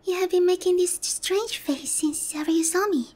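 A woman voicing a haughty but lonely, easily flustered female demon lord in roleplay ASMR, theatrical and often breathy. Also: very high voice, dark tone. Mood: teasing, smug. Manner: slow, theatrical, teasing.

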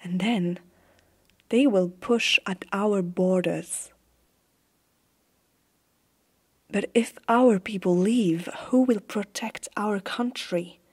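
A stern evil empress of a fantasy realm, acted by a woman in an ASMR roleplay. She speaks slowly and softly, with a dark, husky voice. Every phrase is deliberate, carrying a haunted zeal. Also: medium pitch. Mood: intense, resolute. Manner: slow, hushed, deliberate dramatic delivery.

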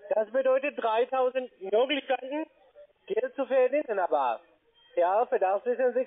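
Guy w high voice and foreign accent doing a halting sales pitch, tinny phone line. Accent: foreign, non-native German. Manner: halting, broken grammar, checks with "ja?" and "ne?". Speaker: telephone salesman, call centre agent. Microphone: telephone. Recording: muffled narrow phone line.

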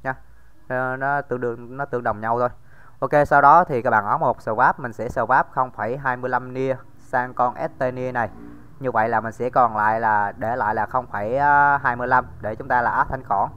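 A man's voice: medium pitch, steady tone, fairly quick, clear, resonant tone, dull audio. A man giving a casual screen-recorded tutorial, talking straight to viewers with many fillers. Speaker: crypto tutorial host.

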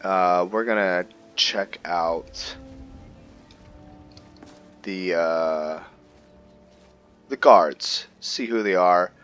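Male gaming host, deep voice, casual rambling commentary, lo-fi sound over faint music. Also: extremely slow, long pauses, fairly bright tone, clear, resonant tone. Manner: rambling, thinking aloud. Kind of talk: casual roleplay game commentary. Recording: dull lo-fi mic under music. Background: game music.

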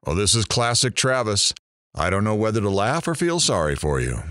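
A man's voice: on the low side, big pitch swings, normal speed, fairly bright tone, dry recording, clean studio sound. A man playing a teasing, curious radio talk show host in an audio drama.

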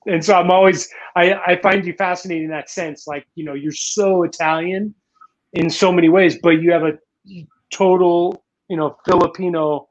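Chatty host with dull webcam sound. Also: male voice, high voice, steady tone, moderate pace. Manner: chatty, rambling questions with many restarts and "you know". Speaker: talk show host. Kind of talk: live-streamed interview. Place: home room in Manila, indoors. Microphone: video call webcam.

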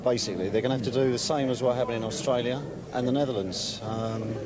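A man's voice: mid-range voice, fairly even intonation, fast, background noise. A man in his thirties giving his opinion to a reporter. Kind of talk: vox pop opinion. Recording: very loud crowd.

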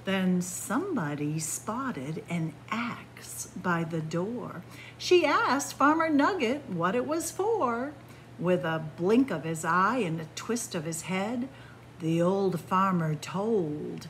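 A middle-aged woman reading a picture book aloud to children in an animated, deliberate storytelling manner. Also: mid-range voice, a little hiss or hum, low-quality recording. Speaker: library story-time reader. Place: indoors in a library among bookshelves.

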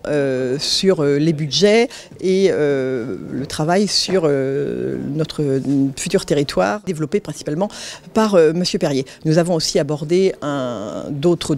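A woman answering an interview as a council president, measured and hesitant. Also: sits low, darkish tone. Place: large meeting hall, indoors. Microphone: handheld interview microphone.

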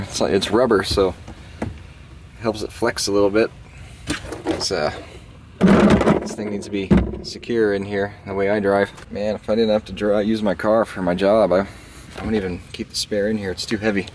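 A man's voice: fairly low pitch, little pitch movement, normal speed. A man from the American South chatting casually and thinking aloud as he works on his car, offhand and a little profane, recorded on a handheld camera. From southern United States. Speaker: car vlogger. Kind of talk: casual rambling chat while working on a car. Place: outdoors at an open car trunk. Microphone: handheld phone or camera mic. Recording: noisy handheld recording with clicks and clunks.

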